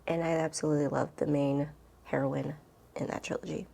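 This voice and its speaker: A woman's voice: low-pitched, little pitch movement, fairly quick, vocal fry, warm tone. A woman chatting casually as a book vlogger, thinking aloud informally and interrupting herself as she goes.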